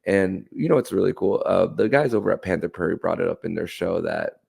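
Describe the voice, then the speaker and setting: A man's voice: deep voice, fairly even intonation, brisk, vocal fry, a little mellow, slightly rough. A man hosting a sports podcast solo, giving enthusiastic commentary on hockey.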